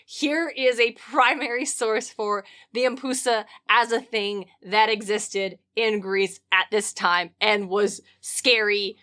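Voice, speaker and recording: A woman hosting a podcast in casual, comedic chat, animated and rambling with slangy asides. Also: medium pitch, slow pace, few pauses. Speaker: podcast host.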